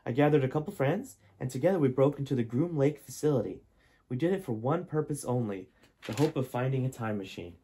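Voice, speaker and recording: A man's voice: mid-range voice, lively intonation, brisk, dark tone, a little hiss or hum, lightly acted. A young man reading aloud as an adult son, a historian explaining himself earnestly in a storybook voice. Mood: earnest confession. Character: adult son from alternate timeline, historian, confessional. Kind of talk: amateur audiobook-style reading.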